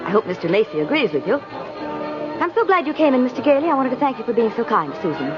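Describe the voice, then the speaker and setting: Poised, expressive mother. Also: fairly high pitch, fast talker, music in the background. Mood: gracious. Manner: polite, composed, firm. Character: poised, practical, no-nonsense mother of a young daughter. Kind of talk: 1950s radio drama acting. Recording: muffled old 1950s radio recording.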